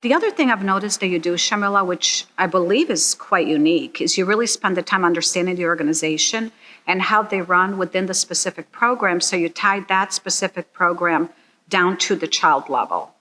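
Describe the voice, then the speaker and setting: Bright-voiced woman, earnest. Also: fairly low pitch, brisk. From Croatia. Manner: earnest and heartfelt. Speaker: donor and supporter of a charity. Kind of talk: interview testimonial.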